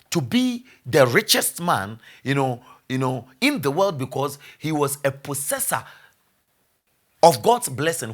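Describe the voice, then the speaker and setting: A man preaching a sermon as pastor, repeating key phrases, asking the congregation rhetorical questions and building to loud exhortation. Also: medium pitch.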